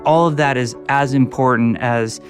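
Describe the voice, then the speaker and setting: A man's voice: mid-range voice, normal speed, soft background music. A man talking enthusiastically about game storytelling in a behind-the-scenes documentary. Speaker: game developer interviewed. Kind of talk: documentary interview.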